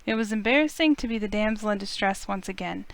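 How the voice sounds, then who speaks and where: A woman with a smooth, clear voice, reading a visual novel aloud as expressive first-person story narration. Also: medium pitch, fast talker.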